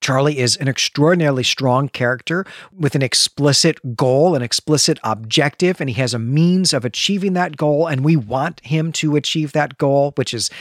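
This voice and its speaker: A man co-hosting a podcast, explaining and recapping fiction conversationally in measured phrases on a close microphone. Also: a little high, no echo. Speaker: podcast co-host. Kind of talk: literary discussion and recap, conversational.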